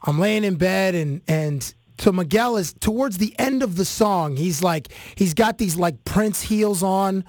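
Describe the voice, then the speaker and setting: A Canadian man hosts a chatty interview and tells stories with animated energy and plenty of fillers. His voice sits high for a man. The sound is close and dry. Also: measured pace, few pauses, no echo. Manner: animated storytelling with many fillers. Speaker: podcast host. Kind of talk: casual interview chat.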